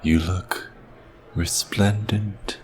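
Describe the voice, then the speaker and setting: A man's voice: sits low, steady tone, very slow. A man playing a haughty, suave vampire king in an ASMR roleplay, drawling with mocking courtesy.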